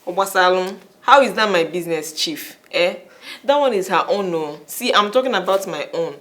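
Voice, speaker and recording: A woman's voice: on the low side, expressive, normal speed, a bit bright. An actress playing a young Nigerian wife who mixes Igbo and English as she presses her husband for a child. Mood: insistent. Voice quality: insistent, mixing Igbo and English. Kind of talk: film drama.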